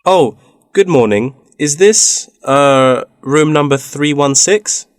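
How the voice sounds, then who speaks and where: A man's voice: on the high side, expressive, slow pace, dry recording, studio quality. A man playing a polite, inquiring university student looking for part-time work in a scripted language-test dialogue.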